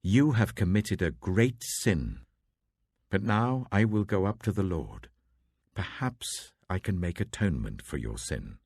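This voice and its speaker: A troubled British prophet and leader pleads earnestly in a scripture audiobook, played by a male narrator. His voice is deep and husky, swinging expressively as he presses each point. The delivery is emphatic and dramatic. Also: big pitch swings, measured pace, darkish tone, no echo, clean studio sound, full character voice. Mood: anguished pleading.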